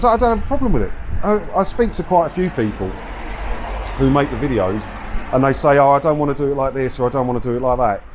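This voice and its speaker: A man's voice: medium pitch, a little mellow, low-quality recording. A middle-aged man chatting informally and bluntly as he hosts a walking vlog. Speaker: video creator and host. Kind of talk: casual walking vlog, rambling chat to camera. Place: outdoors, walking around a seaside town. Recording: outdoor ambience with traffic and faint noise.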